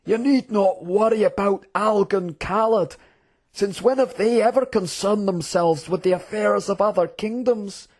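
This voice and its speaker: A domineering imperial general from a fantasy storybook, put on by a narrator, voiced in a raised pitch. He is scornful and emphatic, stretching his words with disdain. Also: warm tone, close to an everyday voice. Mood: scoffing. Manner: scoffing, emphatic, drawn-out.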